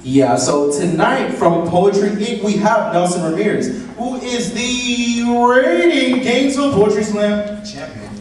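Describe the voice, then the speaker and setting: Lively male host in an echoey hall. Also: high-pitched, unhurried, hardly any pauses, a little hiss or hum. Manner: upbeat, joking banter with a co-host. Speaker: event host. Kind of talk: introducing acts. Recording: echoey hall, far mic, audience.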